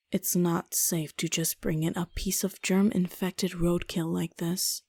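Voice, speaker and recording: A woman voicing a breathy, weary lady scientist. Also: fairly low pitch, little pitch movement, slow pace, darkish tone, no room sound, full character voice. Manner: sighing, exasperated muttering. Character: brisk, weary female scientist, short-tempered. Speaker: voice actor in a fan game dub.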